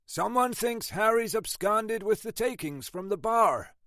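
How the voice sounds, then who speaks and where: A man's voice: high voice, expressive, measured pace, fairly bright tone, dry recording, studio quality, voice pushed up, heavily acted. A man voicing a breezy, dismissive husband. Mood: curious.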